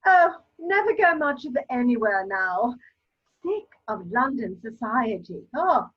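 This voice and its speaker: A woman's voice: fairly high pitch, big pitch swings, measured pace, slightly rough, full character voice. A woman playing a grumbling but gallant older aristocrat, a father, in a period stage comedy, on a slightly dull microphone.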